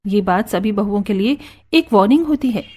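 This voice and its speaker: A woman narrating an animated story in a smooth, even storytelling manner. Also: medium pitch, normal speed.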